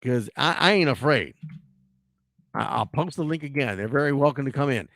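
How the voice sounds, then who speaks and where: A man hosts a sports talk show in a hoarse voice, his pitch swinging up and down as he rants. He restarts his sentences often. The sound is dry and close. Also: normal speed, no echo. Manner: animated, ranting, with frequent restarts. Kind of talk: casual sports talk commentary.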